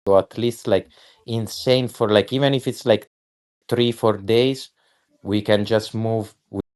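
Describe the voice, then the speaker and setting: Slow, rambling man with a low, smooth voice. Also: a little mellow. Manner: rambling, profane, many fillers and restarts. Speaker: guest artist. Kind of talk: casual chat on a voice space.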